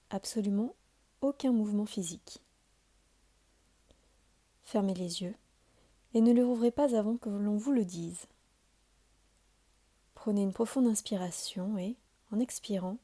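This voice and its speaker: A woman giving calm, soothing guided meditation instructions as a yoga nidra teacher, in a deliberate, measured delivery. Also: medium pitch.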